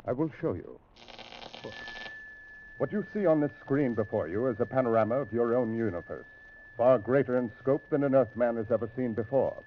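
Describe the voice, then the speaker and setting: An actor playing a stern alien spaceship captain in an old radio drama, speaking in formal, measured, imperious declarations. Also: male voice, medium pitch, a little hiss or hum, natural voice. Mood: grave, instructive. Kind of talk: 1950s radio science fiction drama acting. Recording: muffled, tinny old radio recording.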